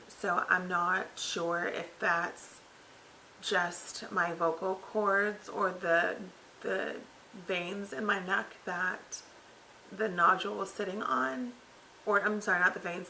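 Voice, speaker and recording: Woman, 30s, raspy cracking voice, gravelly, tired, rambling with fillers, webcam on couch. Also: lowish voice, sharp tone, slight background noise, low-quality recording. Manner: rambling, filler-heavy, self-correcting. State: recovering from throat surgery, tired. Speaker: vlogger. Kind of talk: casual health update vlog. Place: living room, seated on couch by window.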